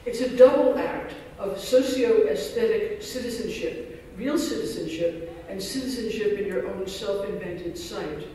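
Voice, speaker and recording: Man, keynote speaker, high mellow voice, dense academic reading, roomy hall from far off. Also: measured pace, few pauses, a little hiss or hum. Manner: dense scholarly phrasing, self-deprecating asides. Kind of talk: academic lecture reading a paper. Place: large lecture hall. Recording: echoey hall recorded from far off.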